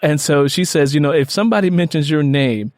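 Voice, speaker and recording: A man hosting a conversational interview, talking in a halting, rambling way. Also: a little high, darkish tone, no room sound, clean studio sound. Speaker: podcast host.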